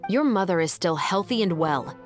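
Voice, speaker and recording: A woman's voice: on the low side, animated, moderate pace, faint music behind, natural voice. A woman playing a dutiful, composed young musketeer captain with a formal, authoritative manner in an anime video game. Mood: stern.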